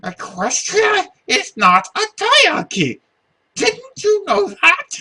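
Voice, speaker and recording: Lady putting on a squeaky pony bureaucrat guy, shrill and piercing, slow fussy scolding, cartoon fanfic. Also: huge pitch swings, sharp tone, full character voice. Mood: scolding, exasperated. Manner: officious, scolding, deliberate. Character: officious male pony noble, squeaky and shrill. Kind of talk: dramatic fan fiction reading.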